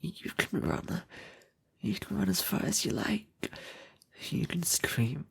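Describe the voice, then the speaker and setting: Obsessive lovesick male kidnapper, played by a man for ASMR roleplay: very slow, gravelly, intimate, doting yet threatening. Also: high voice, lively intonation, a bit bright, no room sound, exaggerated voice. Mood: weak, adoring. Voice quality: husky, breathy, often whispering.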